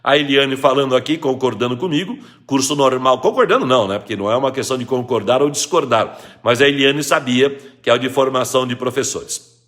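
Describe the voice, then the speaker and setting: A man's voice: medium pitch, brisk, a bit bright. A man with a Brazilian Portuguese accent, teaching grammar in a live lesson, reading out viewers' questions and restating rules with emphasis. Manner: explains patiently, reads viewers' questions, repeats rules emphatically.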